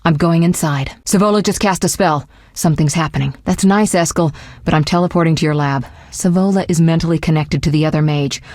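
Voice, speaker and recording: A proud young sorceress from a fantasy video game, with a fairly low female voice. She is confident and articulate, able to command or to show tenderness, and is recorded close and dry. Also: fairly quick, darkish tone, no room sound. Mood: brisk, determined.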